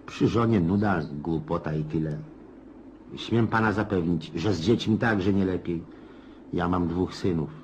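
An actor playing a garrulous, gloomy and pushy old retired Cossack officer in a radio play, rambling in a folksy, insistent way with hums and chuckles. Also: male voice, low voice, a little slow, a little mellow, dull audio, a little hiss or hum. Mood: grumbling, wry. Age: older.

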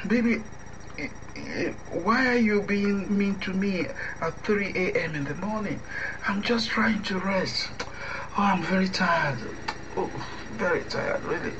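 A man's voice: high voice, animated, measured pace, dull audio. A man playing a tired, resentful lover who is fed up with lies, talking in weary, half-whispered complaints. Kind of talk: radio drama acting. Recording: clear background hiss.

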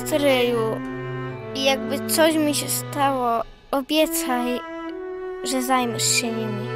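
A woman's voice: high-pitched, steady tone, slow, loud music behind the voice. A woman playing a weak, exhausted young mother of newborns in a children's radio play. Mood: weak, pleading.

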